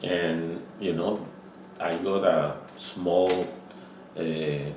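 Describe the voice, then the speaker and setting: Older Costa Rican man, very deep voice. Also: fairly even intonation, darkish tone. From Costa Rica. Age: 70s. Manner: halting, frequent "you know" fillers. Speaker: interviewee. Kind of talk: oral history interview. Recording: roomy, distant mic with faint noise.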